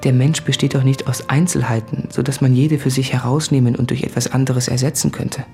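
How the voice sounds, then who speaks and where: An actor playing a guilt-ridden male writer in a radio play, confessing in an intense, introspective, articulate monologue with a husky voice. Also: mid-range voice, fairly even intonation, a little fast, mellow, soft background music, natural voice. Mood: insistent, brooding. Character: anguished, guilt-ridden male writer addressing his fiancée. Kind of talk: literary radio play monologue from letters and diaries.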